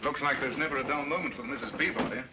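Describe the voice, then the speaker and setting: An actor playing a dry, wry investigator in a 1940s film. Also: male voice, mid-range voice, racing, noisy background, lightly acted. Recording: old film soundtrack with music behind.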